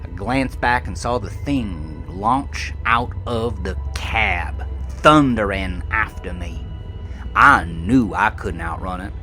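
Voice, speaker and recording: Man acting a slow-talking, shaken American trucker. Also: lowish voice, lively intonation, pushed and bright, faint music behind, full character voice. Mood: tense, haunted. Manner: folksy, coarse, rambling storytelling. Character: shaken, defensive trucker confessing a horrifying roadside encounter. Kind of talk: dramatic first-person horror audio monologue.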